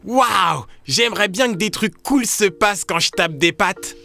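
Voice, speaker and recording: Woman doing young boy in a kids cartoon, bright punchy voice, playful and eager, bit of music behind. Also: medium pitch, expressive, measured pace, creaky, heavily acted. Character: Ben, playful joking young adventurer boy. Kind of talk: children's cartoon dub.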